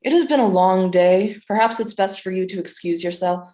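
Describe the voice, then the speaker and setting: A woman's voice: medium pitch, moderate pace, mellow, natural voice. A woman playing a cold, commanding female doctor in a staged play reading, clipped, formal and haughty. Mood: impatient.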